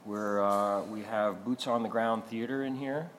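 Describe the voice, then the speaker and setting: A man hosting a casual podcast interview in a halting, hesitant way. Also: lowish voice, steady tone, slight background noise. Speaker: podcast host. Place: artist's studio.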